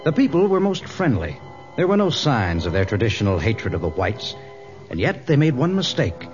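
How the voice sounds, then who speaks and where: A dramatic storyteller voiced by a man narrates a jungle adventure radio serial. His formal delivery swings widely in pitch, and the old recording is dull, with music playing behind him. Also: big pitch swings, moderate pace, lightly acted. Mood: dramatic, measured.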